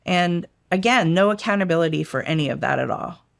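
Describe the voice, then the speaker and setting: Gravelly, halting middle-aged woman. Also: fairly low pitch, expressive. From United States, raised in Washington DC area. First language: Italian. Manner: halting, many restarts and fillers. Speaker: guest, former intelligence analyst. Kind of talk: casual interview talk.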